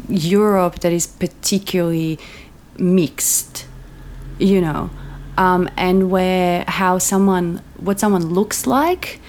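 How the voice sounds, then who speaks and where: A woman's voice: on the low side, gravelly, darkish tone, slight background noise. A woman from Croatia in a casual conversation, speaking hesitantly with many fillers and restarts.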